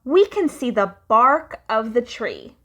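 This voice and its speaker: Slow, lively woman reading to kids. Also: fairly high pitch. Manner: slow, lively, sing-song storytelling. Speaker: children's storytime reader. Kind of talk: reading a picture book aloud to children.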